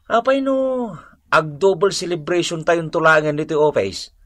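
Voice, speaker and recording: A man's voice: on the high side, normal speed, fairly bright tone, dry recording, clean studio sound, natural voice. A man with a Filipino accent playing a friendly, teasing young office coworker in a radio drama. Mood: cheerful, coaxing. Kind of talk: Ilocano radio drama acting.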